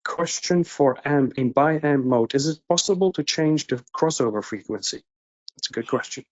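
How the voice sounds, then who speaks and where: A man's voice: on the high side, moderate pace. A middle-aged man co-hosting a webinar over a video call, reading audience questions aloud. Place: indoor room on a video call. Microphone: video call microphone. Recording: dull, band-limited video-call audio.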